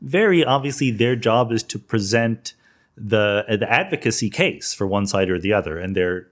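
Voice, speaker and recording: A man's voice: fairly low pitch, lively intonation, normal speed. A man hosting a conversational podcast interview, putting questions and thoughts to a guest.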